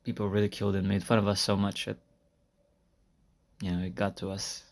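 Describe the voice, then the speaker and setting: A man playing a shy, earnest high school senior in an intimate roleplay, talking softly and hesitantly to his crush. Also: flat delivery, a little fast, vocal fry. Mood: wistful, sincere. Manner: soft-spoken, hesitant, conversational with sighs and small laughs. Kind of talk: intimate roleplay audio monologue to a listener. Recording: amateur recording without a proper microphone.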